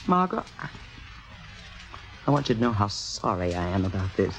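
A woman's voice: extremely low pitch, very animated, normal speed, heavily acted. An actress playing a woman who confides her guilty scheme against a friend, in 1950s radio drama style. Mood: uneasy, apologetic. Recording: muffled vintage radio broadcast with music.

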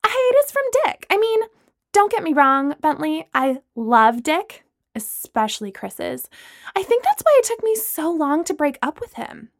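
A bubbly young woman, put on by a female storyteller, chirps in a very high, bright voice. She is giddy and shameless, and her pitch leaps all over the place. This is a storybook figure. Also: sing-song, measured pace, dry recording, studio quality, exaggerated voice.

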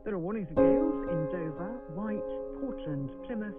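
A man playing a formal radio announcer, reading a shipping forecast in measured bulletin style. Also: high-pitched, animated, echoey room. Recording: dull lo-fi radio sound under loud music. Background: music.

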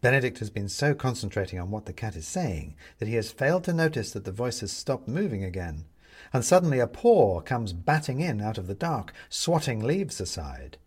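A man narrating a story from a script in an expressive, dramatic way. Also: mid-range voice, moderate pace, slightly rough. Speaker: audiobook narrator.

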